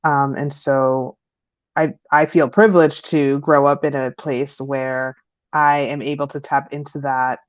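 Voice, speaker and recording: A woman's voice: a little slow, dry recording. A young adult woman from Peru, speaking as an interview guest over a remote call, reflective and thoughtful, with frequent um fillers. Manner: reflective, many um fillers, rising pitch when animated. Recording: dull lo-fi call audio.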